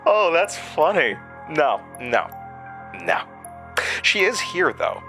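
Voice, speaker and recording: A man's voice: a little high, big pitch swings, slow pace, bright, forceful tone, faint music behind, full character voice. An actor playing a malevolent entity with a man's borrowed voice, mocking and theatrical in a horror audio drama. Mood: smug, amused. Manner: mocking, sardonic, theatrical, toying menace.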